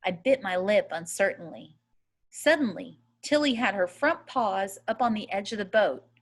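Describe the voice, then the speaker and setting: A woman reading a first-person novel aloud as a storyteller, in a measured, expressive way. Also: mid-range voice. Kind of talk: audiobook-style readaloud of a first-person children's novel.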